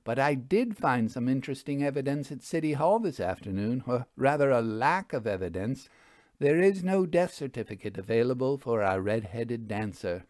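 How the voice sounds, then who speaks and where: A man's voice: mid-range voice, expressive, normal speed, darkish tone, no echo, studio quality, lightly acted. A man voicing a forthright, wry woman ghost investigator in a storybook, with emphatic old-fashioned formal diction. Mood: matter-of-fact.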